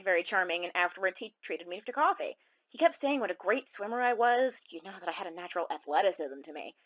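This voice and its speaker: A woman acting as a self-conscious caller on a horror radio drama. Her mid-pitched voice is rueful and confiding, wandering through a conversational monologue with small hesitations. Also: fairly bright tone, poor audio. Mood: wistful, embarrassed.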